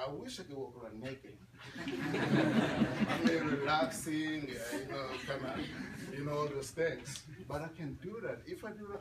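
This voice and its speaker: A man from Congo with a Congolese accent, speaking English as a learned language, gives an informal, conversational talk to students and repeats phrases for emphasis. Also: on the high side. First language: not English. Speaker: guest speaker. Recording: roomy, distant mic, clear background noise.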